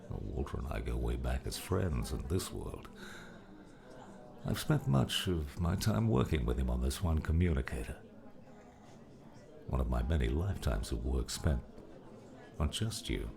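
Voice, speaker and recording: An actor playing a grave, knowing old male mentor in a dramatized audiobook, speaking in a deliberate, weighty way. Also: rumbling low, creaky, heavily acted. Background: background music.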